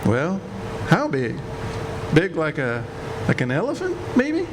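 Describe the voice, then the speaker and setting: An actor playing a folksy, skeptical Old West sheriff from Texas in a radio play. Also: male voice, fairly high pitch, huge pitch swings, full character voice. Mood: wry. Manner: folksy, drawn-out frontier phrasing. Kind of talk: staged radio theatre western. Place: senior center, live stage performance. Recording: noisy live hall recording.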